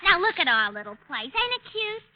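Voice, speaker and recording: An actress playing a bossy, well-meaning working-class wife, folksy and gushing, in a 1940s radio drama. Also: female voice, very high voice, sing-song, a little fast. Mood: scolding, cheery. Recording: muffled, slightly noisy old radio.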